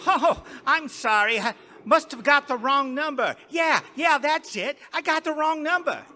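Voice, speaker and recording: A shifty man hastily brushes off a phone call with false cheer, as an actor plays him. His voice is strained, tense and very high. He speaks from well off the microphone. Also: expressive, a little slow, sharp tone, exaggerated voice. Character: nervous man who claims a wrong number.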